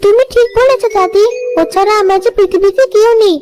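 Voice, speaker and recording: A performer voicing a gentle young village girl, a sweet, sincere and forgiving sister in a moral-story cartoon. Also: very high-pitched, fairly quick. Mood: eager, caring. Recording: music under the voice.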